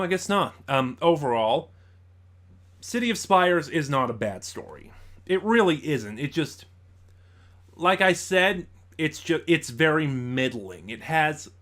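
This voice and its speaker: A man hosting a casual review, talking conversationally and haltingly as he thinks aloud. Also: a little high, a bit bright. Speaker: YouTube reviewer, host. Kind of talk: casual audio drama review commentary.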